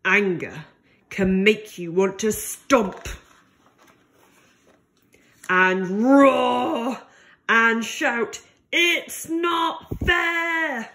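A woman reading a picture book aloud to young children in a gentle, deliberate and expressive way. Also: a little high.

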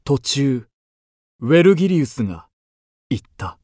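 An actor playing an earnest poet-pilgrim who recounts his journey deliberately as first-person radio drama narration. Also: mid-range voice, very animated, a little mellow, dry recording, clean studio sound. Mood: earnest narrating.

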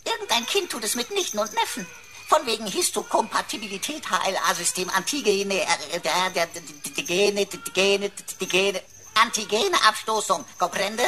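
Woman acting cheeky, bright-voiced computer sidekick. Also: medium pitch, normal speed, faint music behind, lightly acted. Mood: mocking. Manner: pompous, mock-servile, playful wordplay. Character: cheeky, wordy talking computer sidekick named Sam. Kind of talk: German sci-fi radio play acting.

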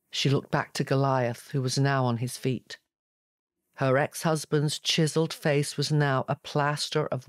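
A man's voice: on the high side, dry recording. Dry-sounding dramatic male narrator. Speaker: audiobook narrator. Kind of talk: dramatic scripted fantasy narration.

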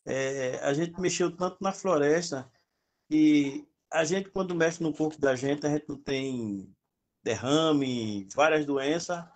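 A man on a video call, talking in a calm, reflective, slightly hesitant way. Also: on the high side, slow pace. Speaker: group participant. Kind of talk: casual chat on a video call.

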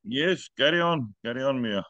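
A man's voice: medium pitch, lively intonation, normal speed, pushed and bright, dry recording, highs cut off. A middle-aged man hosting a video call meeting, friendly and joking as he invites others to speak. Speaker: group meeting host. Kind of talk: casual video call chat. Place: home room with stone wall. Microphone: laptop webcam video call.

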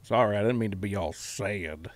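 A man's voice: on the low side, normal speed, no room sound. A man livestreaming a game in casual, rambling chat, repeating phrases and swearing freely, with a put-on folksy hillbilly drawl.

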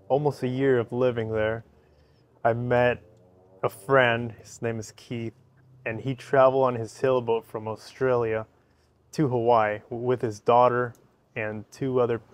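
Slow-talking man with an Ecuadorian Spanish accent. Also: mid-range voice. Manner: short simple sentences, repeats phrases. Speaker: interview guest. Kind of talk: casual interview. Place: covered outdoor patio by a fireplace.

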